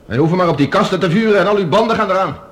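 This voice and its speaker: An actor playing a tense, defiant professor in a radio drama, speaking in a guarded, terse way. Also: male voice, high-pitched, expressive, very fast. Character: tense but defiant male professor leading infiltrators.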